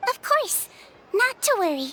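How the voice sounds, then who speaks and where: A woman's voice: very high-pitched, very animated, a little slow, noisy background, exaggerated voice. A woman voicing a small, cheerful, childlike female creature in a video game. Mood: gentle, earnest. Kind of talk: English video game voice acting. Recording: music behind the voice.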